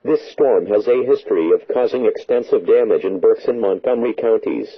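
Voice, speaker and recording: Through a dull, echoey radio alert broadcast comes a man's voice reading emergency weather warnings. He sounds synthetic and rough-edged, delivering each scripted instruction in a flat, formal announcer style. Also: lowish voice, a little fast.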